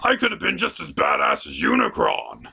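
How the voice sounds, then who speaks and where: Man doing a gravelly, blustering goofball tyrant. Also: on the high side, wildly swooping pitch, normal speed, sharp tone, heavily acted. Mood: sulky. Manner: blustering, sulky. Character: whiny, blustering goofball male storm tyrant. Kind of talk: comic puppet show voice.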